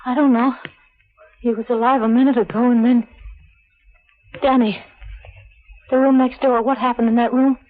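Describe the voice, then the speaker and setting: A woman plays a frightened gangster's girlfriend in a vintage American radio thriller. Her voice is high, slangy and pleading, apt to stammer. The old recording is very thin and narrow. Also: little pitch movement, moderate pace, pauses often, close to an everyday voice. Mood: alarmed, pleading. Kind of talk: 1940s radio drama acting.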